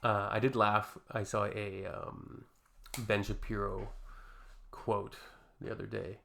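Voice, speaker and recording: A man's voice: fairly low pitch, flat delivery, takes it slowly, vocal fry, sharp tone. A young Canadian man hosting a reaction video, reading posts aloud and adding dry, sarcastic, exasperated remarks.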